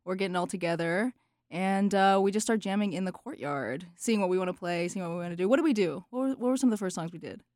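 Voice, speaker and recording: Low-toned young woman telling stories casually. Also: fairly quick, a little mellow, dry recording, studio quality. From Charlotte area, North Carolina, US. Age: young adult. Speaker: band singer being interviewed. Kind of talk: casual chat, playful storytelling.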